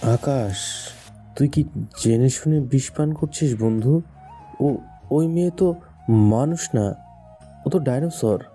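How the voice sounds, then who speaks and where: Man playing a very dark-toned dramatic young friend. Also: mid-range voice, takes it slowly, pauses often, lightly acted. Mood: dismayed. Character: young male friend, dismayed, mock-grave and exaggerating. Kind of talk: dramatized audio story. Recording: music behind the voice.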